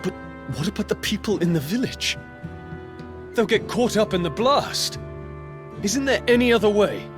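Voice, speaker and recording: Game warrior sidekick, direct and informal, higher male pitch, music behind. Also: expressive, moderate pace, pauses often. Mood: worried. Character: plain-spoken, concerned male warrior companion. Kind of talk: video game cutscene acting.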